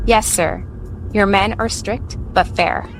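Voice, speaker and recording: A woman's voice: medium pitch, takes it slowly, background noise. Female second-in-command: dutiful, exasperated, middle register, audio drama. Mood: exasperated, deferential. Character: exasperated, deferential female lieutenant.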